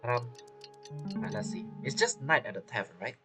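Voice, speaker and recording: A man's voice: medium pitch, sing-song, moderate pace, over music. A man giving casual gameplay commentary as a streamer, rambling and playful, with non-native phrasing. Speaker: game streamer.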